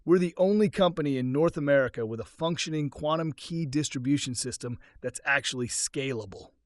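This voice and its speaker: A man's voice: on the high side, brisk, no echo, clean studio sound, lightly acted. Man voicing a nervous, salesman-like startup boss. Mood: rehearsed confidence. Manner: salesman-like, placating. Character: nervous male startup boss, rehearsed confident pitch. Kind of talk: dramatic audiobook-style story acting.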